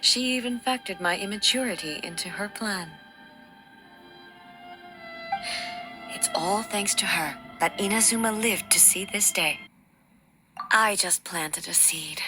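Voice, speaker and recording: A woman voicing a regal ruler goddess in a video game, composed and resolute, with measured, formal, stately phrasing. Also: mid-range voice, natural voice. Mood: solemn, grateful. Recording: studio voice with faint music behind.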